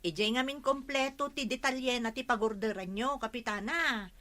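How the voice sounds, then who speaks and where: Woman doing ilocano parcel courier, radio comedy, insistent, sharp highish voice. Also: moderate pace, close to an everyday voice. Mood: defensive. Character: defensive parcel delivery worker, plain-spoken.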